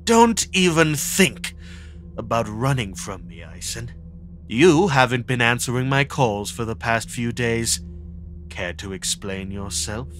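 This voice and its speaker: A man's voice: mid-range voice, lively intonation, unhurried, fairly bright tone, a little hiss or hum. A man voicing an arrogant, commanding teenage schoolboy in a comic dub, curt and cold. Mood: menacing, demanding. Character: arrogant, commanding high-ranking teenage schoolboy obsessed with hierarchy. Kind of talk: dramatic comic dub acting.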